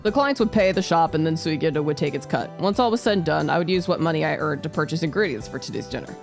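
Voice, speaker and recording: A woman's voice: low-pitched, expressive, fast, vocal fry, over music. A woman streamer reading visual novel narration aloud, with casual asides. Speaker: VTuber streamer.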